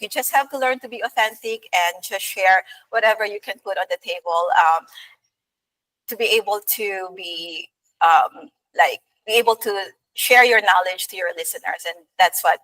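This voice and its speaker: A woman's voice: medium pitch, sharp tone, recorded from a distance, poor audio. A woman from the Philippines with a Filipino accent, a radio host talking earnestly in a panel chat, with frequent fillers.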